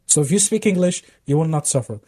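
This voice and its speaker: A man's voice: a little high, animated, fast talker, warm tone, slightly rough, no echo. A man, a guest on a casual podcast, chatting and laughing along in fluent second-language English. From Belgium.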